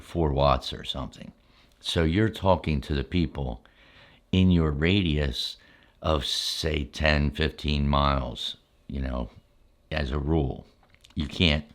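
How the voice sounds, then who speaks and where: An older man reminiscing in a relaxed, casual ASMR style, speaking in unhurried, halting phrases with folksy asides. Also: a bass voice. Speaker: ASMR storyteller.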